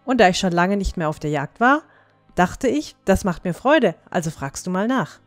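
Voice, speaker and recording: A woman's voice: fairly low pitch, expressive, normal speed, dry recording, soft background music, natural voice. A woman playing a confident, eager young dwarf huntress in a fantasy audio drama. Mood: confident, cheerful.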